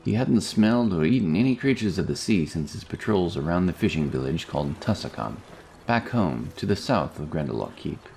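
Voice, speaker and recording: Deep, gravelly male fantasy narrator. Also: big pitch swings, brisk, darkish tone. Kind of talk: scripted fantasy story narration. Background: music.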